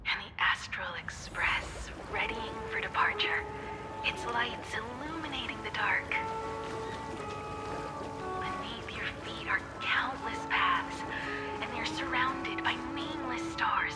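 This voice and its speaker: An actor voicing a calm, reflective figure in a game, speaking in a hushed, wistful way. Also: medium pitch, slow, over loud music.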